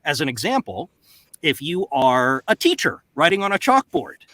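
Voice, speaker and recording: A man's voice: a little high, sing-song, normal speed, bright, forceful tone, no echo. A man explaining the craft as a voice actor and coach on a panel, emphatic, into a close studio mic. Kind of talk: panel discussion. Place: home recording booth. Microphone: close studio microphone.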